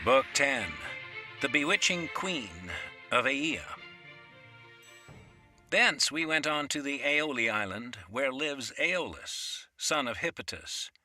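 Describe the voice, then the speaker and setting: Male epic audiobook narrator, slow, measured, solemn, formal, music behind. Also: mid-range voice, lively intonation, bright, forceful tone, full character voice. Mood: solemn, stately. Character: stately audiobook narrator reading ancient Greek epic.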